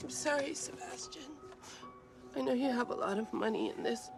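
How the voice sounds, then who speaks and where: A woman's voice: extremely high pitch, sing-song, unhurried, music in the background, heavily acted. A woman playing a wounded, furious movie star in a heated screen drama. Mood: upset, tearful.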